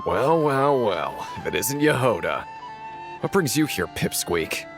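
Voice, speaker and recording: An actor playing a cocky, mocking male gang thug in a video game, with a gravelly voice. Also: mid-range voice, sing-song, a little slow, music in the background. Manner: mocking, taunting. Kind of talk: video game character acting.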